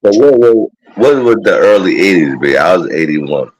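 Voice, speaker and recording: A man talks casually and profanely on a live stream. His voice sits very low. The sound is dry and close. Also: sing-song, normal speed, no room sound, low-quality recording. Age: 40s. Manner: loose, profane street talk. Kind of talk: casual chat.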